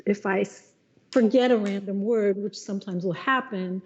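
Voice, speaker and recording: A middle-aged woman who sings jazz talks about her craft in a relaxed, thoughtful way, often pausing and restarting her sentences. Her voice is mellow and warm rather than bright. The recording is a little dull and lo-fi. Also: medium pitch. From Los Angeles, USA. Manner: thoughtful, many restarts and fillers. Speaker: jazz singer, guest. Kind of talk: casual conversation.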